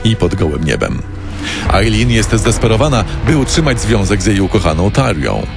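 A man's voice: fairly low pitch, very animated, normal speed, creaky. A man narrating a scripted true-crime radio story in a dramatic storytelling manner. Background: prominent music bed.

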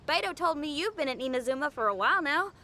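A woman's voice: high-pitched, lively intonation, fast, pushed and bright, heavily acted. A woman voicing a spirited, friendly young female musician in a video game, brash and breezy with folksy slang. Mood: upbeat, breezy. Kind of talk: video game character acting.

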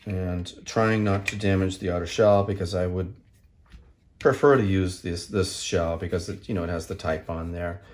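Very deep-voiced man giving a casual tutorial. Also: a little mellow, slight background noise. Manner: unscripted, halting step-by-step explaining. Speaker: tutorial host. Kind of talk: casual hands-on repair tutorial narration.

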